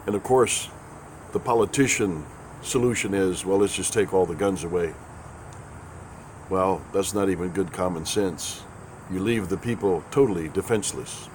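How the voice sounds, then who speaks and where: A very low-pitched man, raspy and congested from a head cold, talks in a folksy, rambling way with a sarcastic edge. A faint hiss of background noise sits under his voice. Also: normal speed. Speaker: host. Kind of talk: casual spoken commentary.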